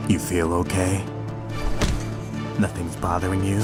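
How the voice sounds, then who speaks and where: A man voicing a gruff, stoic, battle-hardened soldier in a video game, terse and blunt, with a gravelly growl. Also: low voice, little pitch movement, a little slow. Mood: uneasy, guarded. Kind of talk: dramatic video game voice acting. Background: background music.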